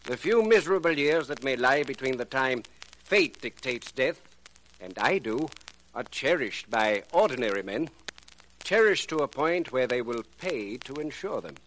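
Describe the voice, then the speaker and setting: An actor playing a cold, erudite crime boss in a 1940s radio drama, speaking with pompous, deliberate, ornate diction. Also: male voice, mid-range voice, animated, fairly bright tone, lightly acted. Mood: smugly philosophical. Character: cold, erudite male extortionist crime boss called professor. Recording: noisy, crackly old radio recording.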